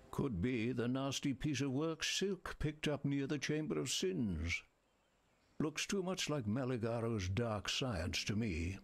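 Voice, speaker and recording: A man voicing a grave, learned advisor in a video game, wary and wistful, with a husky, gravelly voice and measured, eloquent delivery. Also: mid-range voice, full character voice. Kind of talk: scripted game dialogue.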